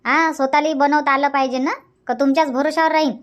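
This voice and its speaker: Cartoon village aunt, acted by a woman: high voice, Varhadi Marathi accent, bossy and hospitable, nags by repeating herself. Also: steady tone, fast talker, close to an everyday voice. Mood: firm, scolding. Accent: Varhadi Marathi from Vidarbha. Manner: insistent, repetitive, scolding. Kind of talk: Marathi cartoon comedy dialogue.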